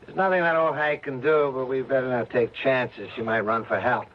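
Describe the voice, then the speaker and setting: A tough outlaw boss acted by a man speaks in a mid-pitched, gruff, dismissive voice. The old radio drama sound is dull, with faint noise. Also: fairly even intonation, normal speed, close to an everyday voice. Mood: smug. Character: tough, callous male fugitive, bossy leader of a trio.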